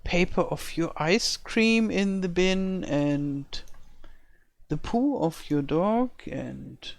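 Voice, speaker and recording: Older German woman, English as second language, unhurried, explaining game building as she works, dry close sound. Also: fairly low pitch, expressive, gravelly, dry recording. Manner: thinking aloud, searching for words. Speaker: let's-play creator. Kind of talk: casual commentary while building a game map. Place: home in rural France.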